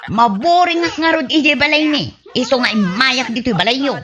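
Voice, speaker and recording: A woman's voice: high-pitched, expressive, moderate pace, crowd noise. A woman playing a gossip-loving, defiant village wife in a comedy radio drama, with exaggerated whiny retorts, on muffled audio. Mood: casual, chatty.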